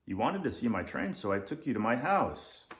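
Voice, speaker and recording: A man acts out a curious little boy in a children's storybook. He sounds innocent and questioning, with pitch that moves around a lot. The recording is roomy and echoey. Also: big pitch swings, normal speed, a bit bright. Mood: matter-of-fact. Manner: naive, questioning. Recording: roomy echo.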